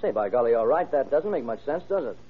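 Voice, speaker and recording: An actor playing an eager, puzzled police detective sidekick in an old radio crime drama, with an inquisitive manner. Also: male voice, mid-range voice, very animated, fast talker, slightly rough, phone-quality sound. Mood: puzzled, curious. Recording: very thin, noisy old radio recording.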